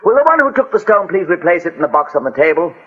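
A wealthy, imperious gentleman host in a 1940s radio drama. His voice is husky and very animated, with formal, theatrical phrasing. The old recording is thin and phone-like. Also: sits high, fast, heavily acted. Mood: angry, commanding.

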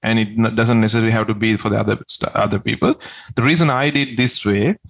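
A man's voice: fairly low pitch, steady tone, fast, fairly bright tone. A man from Sri Lanka, a tax agent answering interview questions in an earnest, step-by-step way.

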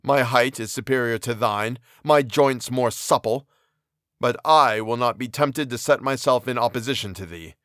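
A man voicing an anguished, towering male creature who speaks slowly and solemnly in an audiobook reading. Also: medium pitch, fairly bright tone, no echo, clean studio sound, lightly acted. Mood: pleading. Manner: slow, heavy, solemn delivery.

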